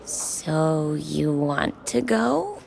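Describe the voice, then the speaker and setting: Small-town diner waitress, unhurried, gravelly edge, wry, teasing, direct, audio drama. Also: female voice, sits low, big pitch swings, a crowd in the background, full character voice, rough recording. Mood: coaxing. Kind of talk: naturalistic audio drama dialogue.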